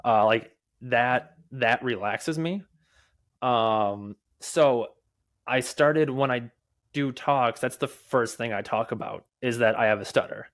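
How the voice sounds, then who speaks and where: Young man who stutters, halting speech. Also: medium pitch, a bit bright. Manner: stutters, with repeated words, halting restarts and many fillers. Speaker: podcast guest, mobile developer and conference speaker. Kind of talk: candid conversational interview answers.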